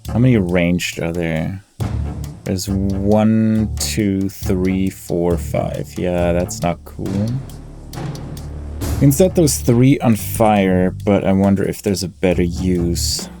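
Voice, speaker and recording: A man giving casual let's play commentary, thinking aloud in a deliberate, low-key way. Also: gravelly. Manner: deliberate, low-key, talks through tactics. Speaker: gaming YouTuber. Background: game music.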